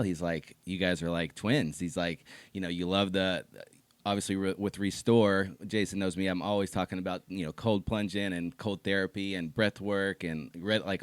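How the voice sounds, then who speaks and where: A man hosting a casual podcast, excitable and chatty, laughing often and calling his guest dude and man. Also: sits low, no echo. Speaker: podcast host.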